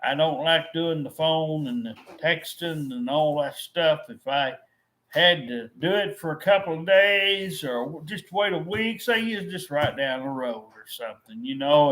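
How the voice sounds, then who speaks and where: A man's voice: a little high, a bit bright, rough recording. A middle-aged man venting to his viewers, frustrated and repetitive, often restarting his sentences. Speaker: vlogger. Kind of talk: rambling to-camera vent.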